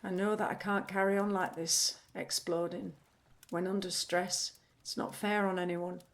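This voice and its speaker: An actress playing a troubled, candid, anxious woman in an audio play, halting as she searches for words. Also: fairly low pitch, little pitch movement, natural voice. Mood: subdued, confessional. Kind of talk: audio play acting, confessional monologue.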